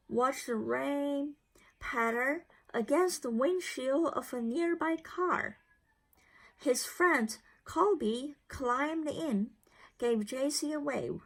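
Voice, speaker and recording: High, expressive, slow woman storyteller. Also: creaky, breathy edge, rough recording. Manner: expressive, deliberate phrasing. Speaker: storybook reader. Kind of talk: children's read-aloud narration.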